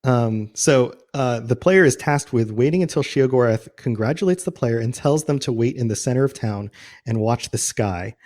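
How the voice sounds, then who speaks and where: A man's voice: medium pitch, moderate pace, darkish tone. A man chatting informally and casually as a podcast host about game lore, often chuckling.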